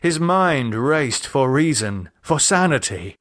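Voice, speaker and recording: A male narrator reads horror fiction at a slow pace in a mid-range voice. His delivery is deliberate and expressive, shaped as dramatic audiobook storytelling. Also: mid-range voice. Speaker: author and narrator reading own novel.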